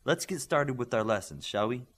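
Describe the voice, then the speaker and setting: A man voicing a brisk, efficient woman teacher in an audiobook. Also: mid-range voice, expressive, fairly bright tone. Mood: instructive.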